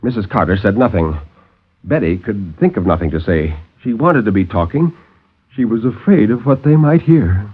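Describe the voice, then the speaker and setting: A man's voice: lowish voice, lively intonation, moderate pace, husky. A man reading a horror story theatrically, in a literary 1950s radio storytelling style, on a muffled old recording with music. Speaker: storyteller, co-host.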